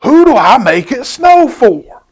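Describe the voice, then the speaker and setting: A man's voice: very high-pitched, sing-song, a little slow, breathy edge, voice pushed up, heavily acted. A man voicing a puzzled old male winter spirit in a storybook. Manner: wondering, questioning tone.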